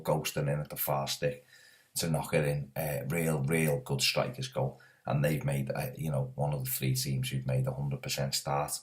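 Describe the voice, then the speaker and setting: Footy guy with a cold, hoarse, super low flat voice, lots of ums. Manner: chatty, filler-heavy. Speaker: soccer preview host. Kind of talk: casual solo sports preview.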